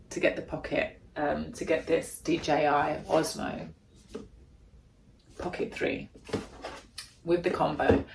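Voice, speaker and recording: A woman with a deep voice, chatting casually and gushing as she unboxes a gadget in a vlog at home. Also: creaky, a little hiss or hum, low-quality recording. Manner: gushing, chatty, thinking aloud. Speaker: vlogger.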